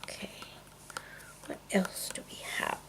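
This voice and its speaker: A woman chatting casually in a soft, mostly whispered ASMR style, rambling along, with tapping and clicking from small containers. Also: unhurried, poor audio. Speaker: video creator and host. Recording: noticeable noise, clicking sounds. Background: tapping and clicking of makeup containers.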